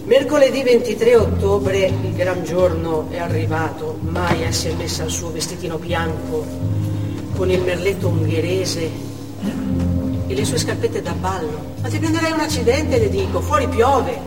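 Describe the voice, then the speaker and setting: A woman playing a devoted, excitable working mother in a chatty theatrical monologue. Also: lowish voice, normal speed, over loud music, close to an everyday voice. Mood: chatty, fond. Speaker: stage actor. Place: indoor theatre stage, recorded from afar. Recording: distant, echoey hall with noticeable noise.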